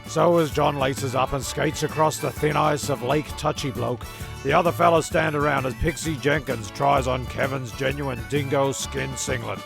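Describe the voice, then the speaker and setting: A man's voice: mid-range voice, fairly even intonation, moderate pace, creaky, over music. A man narrating a comedy audio serial as scripted storytelling, breaking off into rambling, sweary asides.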